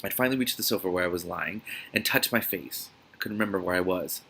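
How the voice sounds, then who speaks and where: A man reads fiction aloud in a dramatic, expressive way, breaking off for his own asides. His voice sits fairly low and has a husky grain, with a bright, forceful edge. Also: quick pace. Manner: dramatic, expressive reading with exclaimed interjections. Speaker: reader and host of a read-aloud reaction series. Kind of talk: reading a novel aloud with reaction asides.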